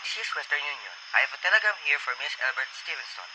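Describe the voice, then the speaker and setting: A man's voice: mid-range voice, sing-song, fast, pushed and bright, a little hiss or hum, poor audio. A man playing a courteous, impersonal telegram clerk, reciting formally in an amateur radio play. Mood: businesslike.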